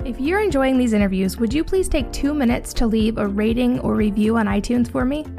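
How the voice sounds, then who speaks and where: A woman's voice: fairly high pitch, a little fast, creaky. A woman podcast host reading a scripted outro, kindly asking listeners for a review. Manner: friendly appeal to listeners. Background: soft music.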